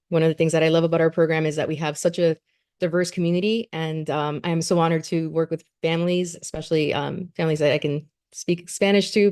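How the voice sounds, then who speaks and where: Low gravelly woman presenting a program. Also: darkish tone. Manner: earnest, many fillers and restarts. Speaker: education program coordinator. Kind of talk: presentation at a community meeting.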